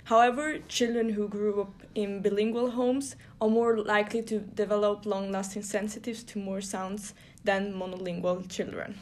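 A young woman from Italy, raised speaking Italian and German, reading prepared podcast notes in a soft, steady way. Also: medium pitch, moderate pace, hardly any pauses. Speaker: podcast co-host.

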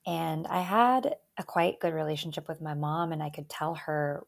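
A young woman from Albania raised in Canada, a native Albanian speaker, telling her life story as an interview guest in a calm, reflective, soft-spoken way. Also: low voice, normal speed, creaky. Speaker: guest, eating disorder advocate.

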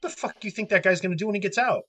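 A man's voice: high voice, rapid-fire, a bit bright. A man hosting a podcast who rants angrily and swears constantly, sniffling from allergies, talking from a cold house. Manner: angry, profane ranting. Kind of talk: ranting podcast talk.

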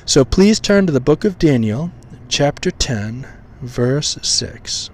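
A man hosting a podcast, teaching scripture in an informal way with a slightly hoarse, weak voice, full of pauses, ums and restarts while he tries to enunciate carefully. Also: medium pitch, animated, a little hiss or hum. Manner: halting, many ums and restarts, deliberate enunciation.

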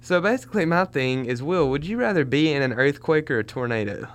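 Lively young man joking around. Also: fairly high pitch. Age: 20s. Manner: animated, joking, quick to laugh. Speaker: podcast co-host. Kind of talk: casual chat.

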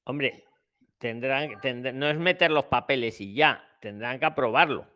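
A man from Spain with a bright, forward voice and a mid pitch. He hosts an unscripted advice call-in in a colloquial way, jumping in and steering the speakers. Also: measured pace. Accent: Spanish from Spain. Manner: colloquial, interjecting, directing speakers. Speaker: host of a live advice call-in. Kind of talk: informal unscripted group discussion.

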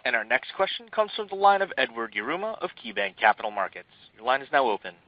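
Brisk, lively male call operator, dull line. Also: medium pitch, dry recording. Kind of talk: scripted call announcements. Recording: dull, dry conference call audio.

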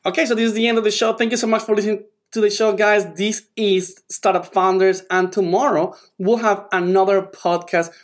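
A podcast host with a South American Spanish accent and a slightly high voice speaks warmly and effusively. His phrasing is loose and chatty as he praises his guest and signs off. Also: steady tone, fairly quick, hardly any pauses. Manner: effusive, friendly, loose wording. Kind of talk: casual podcast hosting.

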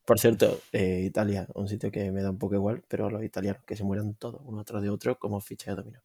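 A man with a Spanish accent co-hosts a film podcast in a casual, crude way, sarcastic and full of swearing. His voice sits low, with a gravelly, fried edge. His tone is dark and mellow. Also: flat delivery, fast talker. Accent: Spanish from Spain. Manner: sarcastic, profane, rambling rants. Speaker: podcast co-host.

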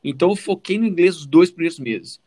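A man's voice: a little high, fast talker, warm tone. A man with a Brazilian Portuguese accent telling his own story in a casual, informal way as a podcast co-host, often adding little check-in questions.

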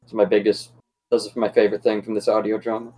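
A man's voice: fairly low pitch, little pitch movement, fast, warm tone. A man co-hosting a podcast, chatting casually with the panel. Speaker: podcast co-host.

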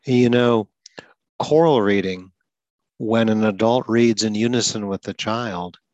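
A man's voice: fairly low pitch, a little slow, darkish tone, dry recording, dull audio. A man who stutters, hosting a talk about school support, explaining things earnestly with occasional repeated words. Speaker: podcast host.

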